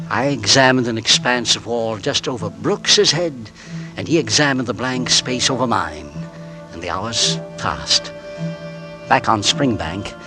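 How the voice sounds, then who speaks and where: Man playing uptight businessman narrator, old radio show, music under, lively pitch. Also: close to an everyday voice. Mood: brooding. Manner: tense, hushed, rising to frantic. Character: anxious middle-aged English businessman, narrator. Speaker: lead and narrator of a radio drama. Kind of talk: 1940s radio acting.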